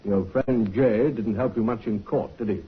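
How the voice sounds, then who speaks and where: A calm police inspector presses his questions politely and keeps at them. His voice is very husky and breathy. The old broadcast sound is dull. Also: on the low side, moderate pace. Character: calm, probing male Scotland Yard inspector. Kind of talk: 1950s radio acting. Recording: dull vintage radio broadcast.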